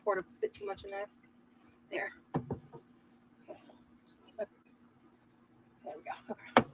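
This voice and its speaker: A woman's voice: a little slow, background noise. A woman chatting casually while she cooks breakfast on a livestream, full of "like" and little asides. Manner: chatty, lots of "like", talks while busy cooking. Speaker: cooking livestream host. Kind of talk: casual chat while cooking. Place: home kitchen.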